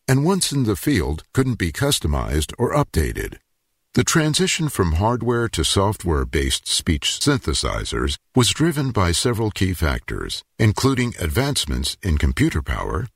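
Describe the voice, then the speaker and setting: An older man giving scripted documentary narration in a measured, explanatory way. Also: deep voice, slightly rough, no echo, clean studio sound.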